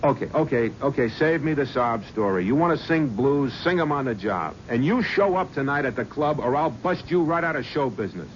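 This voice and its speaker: A man's voice: mid-range voice, animated, close to an everyday voice. An actor playing a brash, ruthless male talent agent with a New York accent in a 1970s radio drama. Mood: bullying. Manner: brash, hard-edged, fast-talking hustler. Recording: dull lo-fi old radio broadcast with faint hiss.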